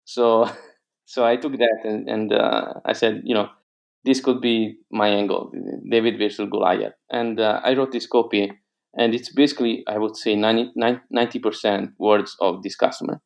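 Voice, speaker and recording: Italian man with a gravelly, low voice. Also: level tone, normal speed. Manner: hesitant, many fillers and restarts. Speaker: guest entrepreneur. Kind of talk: casual interview talk.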